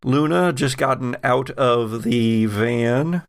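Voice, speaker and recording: Guy game master w/ gravelly fry, takes his time narrating spooky stuff, kinda wry. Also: mid-range voice, takes it slowly. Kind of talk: narrating a tabletop horror game.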